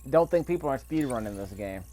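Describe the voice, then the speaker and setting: A man's voice: mid-range voice, animated, fairly quick, mellow, a little hiss or hum. Offhand man muttering game commentary. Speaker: video game player recording commentary. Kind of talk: casual let's play commentary. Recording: game sound under the voice. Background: video game ambience.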